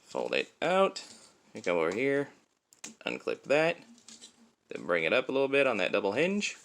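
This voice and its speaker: Bright-voiced man giving a casual review. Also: mid-range voice, wildly swooping pitch, normal speed, creaky. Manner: informal, step-by-step explaining. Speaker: toy reviewer. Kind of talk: casual hands-on product review.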